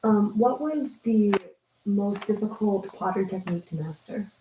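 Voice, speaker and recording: A woman's voice: mid-range voice, measured pace, roomy sound, noisy background. A woman reading viewers' questions aloud and giving short encouraging replies during a casual live stream.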